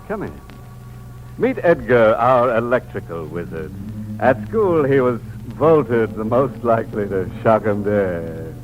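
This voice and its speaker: An actor playing the sinister, joking host of a 1950s horror radio drama, full of macabre puns. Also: male voice, on the low side, sing-song, takes it slowly, dull audio. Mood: ghoulish glee. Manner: macabre punning, gleeful chuckling. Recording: old radio broadcast with music.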